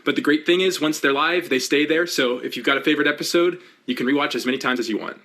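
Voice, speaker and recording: A man's voice: on the high side, fast talker, fairly bright tone. A young man explaining things in a casual, upbeat way as an interview guest on a video call. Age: young adult. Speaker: interview guest, theater educator. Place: indoors at home, small room.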